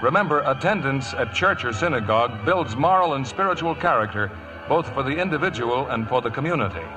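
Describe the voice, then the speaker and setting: An American man working as a 1950s radio announcer, reading scripted copy with polished, measured enunciation. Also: mid-range voice, expressive, poor audio. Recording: vintage radio broadcast with music. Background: music.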